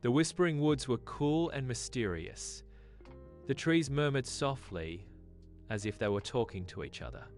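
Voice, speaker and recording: A woman's voice: rumbling low, lively intonation, moderate pace, a little mellow, soft background music. A woman narrating a scripted bedtime story for children.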